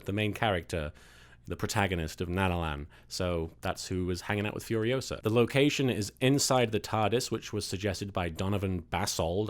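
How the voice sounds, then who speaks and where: A man hosting and narrating a scripted comic story, with some casual introductory talk. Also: on the low side. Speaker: host and fan fiction reader.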